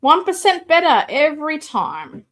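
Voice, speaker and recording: A woman's voice: sing-song, measured pace, a bit bright. A woman hosting a live toy collecting stream, chatting casually, reading viewer comments aloud and unboxing a figure. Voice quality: self-described booming voice. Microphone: desk mic, moved away at times.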